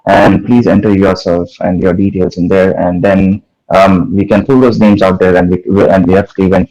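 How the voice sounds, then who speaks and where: A man gives announcements as organizer of an online event, in a somewhat low voice. He talks haltingly and keeps stopping to say uh and um. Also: a little mellow, low-quality recording. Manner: halting, many uh and um.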